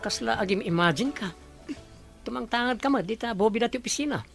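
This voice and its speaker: A performer acting a teasing, nosy office co-worker with a creaky voice in an Ilocano audio drama. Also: mid-range voice, lively intonation, fairly quick, fairly bright tone, soft background music.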